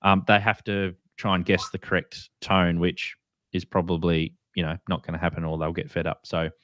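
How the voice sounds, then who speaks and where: A man's voice: deep voice, flat delivery, normal speed, fairly bright tone, no echo. A man with an Australian or New Zealand accent, chatting informally as a show host while explaining products to beginners. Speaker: co-host of a radio hobby show. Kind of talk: casual product walkthrough for beginners.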